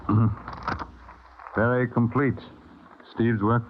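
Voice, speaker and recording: An actor playing a pompous, calculating leader of a subversive group in old radio drama style. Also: male voice, mid-range voice, flat delivery, slow, natural voice. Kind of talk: 1950s radio drama acting. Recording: noisy old narrow-band radio recording.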